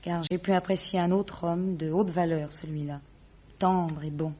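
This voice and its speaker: An actress playing a poised, witty, teasing high-society lady in a French radio drama, with a playful, mocking, theatrical delivery. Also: steady tone, normal speed, warm tone, lightly acted. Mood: composed, sincere. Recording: dull lo-fi radio recording.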